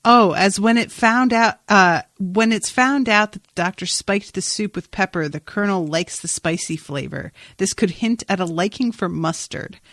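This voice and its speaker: A woman's voice: mid-range voice, moderate pace, few pauses, fairly bright tone, no echo. A woman podcast host talking through a TV episode in an informal, rambling way with exclamatory asides. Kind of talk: casual TV recap chat.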